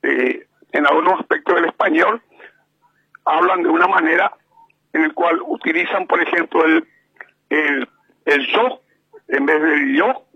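Gravelly Paraguayan man on a phone line. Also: a little high, dry recording. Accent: Paraguayan Spanish. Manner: slow and measured, with many hesitations. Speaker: radio commentator calling in. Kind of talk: slow deliberate radio commentary. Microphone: telephone.

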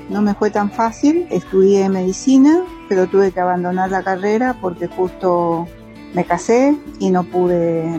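Older woman from Paraguay, mellow. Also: lowish voice, lo-fi sound. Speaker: interviewee, migrant lawyer. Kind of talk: recorded testimony.

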